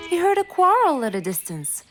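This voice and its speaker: A woman narrating a children's cartoon story in an expressive storytelling manner. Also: extremely high pitch, fairly quick. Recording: music bed behind the voice.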